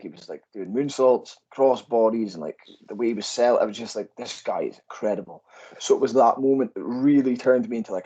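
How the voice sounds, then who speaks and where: Aberdeen wrestler guest, breathy voice, muffled remote audio, relaxed and enthusiastic. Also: male voice, fairly low pitch. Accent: Aberdeen Scottish. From Scotland. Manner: easygoing, filler-heavy, enthusiastic. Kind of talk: casual interview chat.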